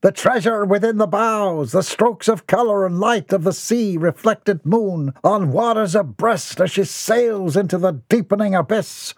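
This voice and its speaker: Man playing a rambling eccentric, high-pitched, flowery, grandiose, dry close sound. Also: unhurried, few pauses, dry recording. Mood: grandiose ranting. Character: eccentric rambling nephew lost in sea fantasies.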